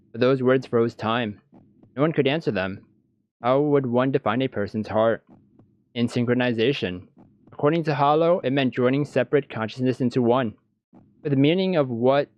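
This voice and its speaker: A man reading visual novel text aloud as scripted narration, with a deliberate, dramatic storytelling delivery. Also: mid-range voice, dull audio.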